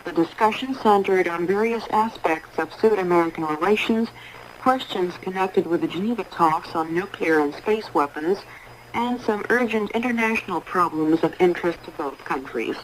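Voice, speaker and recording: A woman reading a formal scripted radio news bulletin in a measured, impersonal way, on a 1980s shortwave broadcast. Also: fairly low pitch, slight background noise, low-quality recording. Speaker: newsreader.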